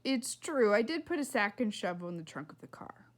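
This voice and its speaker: A woman's voice: mid-range voice, big pitch swings, brisk, natural voice. A woman reading the part of a young girl's evasive father in a storybook, stalling and deflecting with false breeziness.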